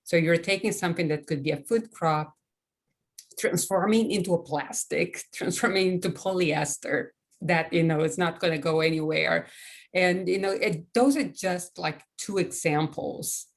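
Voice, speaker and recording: A woman's voice: lowish voice, normal speed, gravelly. A woman attending a virtual event, asking a skeptical, probing question over a video call.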